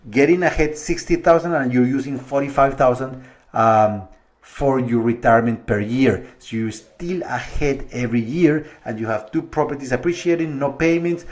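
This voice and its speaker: A man's voice: medium pitch, darkish tone. Middle-aged man explaining finances. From Colombia. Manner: conversational, walks through numbers step by step, slight restarts. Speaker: mortgage broker. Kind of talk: explanatory video monologue to viewers.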